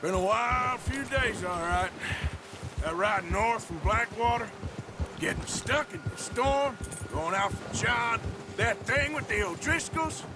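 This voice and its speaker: A man's voice: high voice, lively intonation, takes it slowly, noisy background, exaggerated voice. A man playing a weary, wry outlaw gunslinger in a western video game, with an American Western drawl and a sardonic manner. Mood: weary, reflective.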